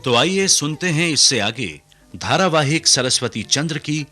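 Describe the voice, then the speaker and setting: A man's voice: on the high side, animated, measured pace, bright, forceful tone, faint music behind. A man narrating a scripted recap of a radio serial's story.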